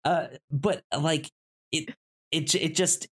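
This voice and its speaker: A man's voice: fairly high pitch, steady tone, a little slow, a bit bright, husky. A man co-hosting a podcast in casual chat about film, stammering and repeating words as he goes. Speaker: podcast co-host.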